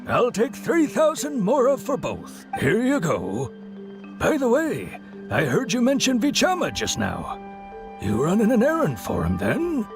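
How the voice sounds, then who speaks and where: A man's voice: high voice, wildly swooping pitch, measured pace, over music, exaggerated voice. A man voicing a friendly, folksy traveling merchant in a video game, speaking without hurry. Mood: genial. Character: friendly, chuckling male traveling stone merchant.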